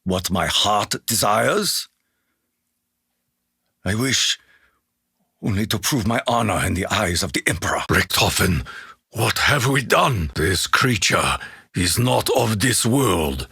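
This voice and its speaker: A spiritual, honor-bound Japanese warrior for a video game. His Japanese-accented voice is rough and gravelly, with animated swings in pitch. He makes solemn, formal declarations, recorded close and dry. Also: takes it slowly, pauses often, dry recording, exaggerated voice. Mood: brooding, grave. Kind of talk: video game character voice lines.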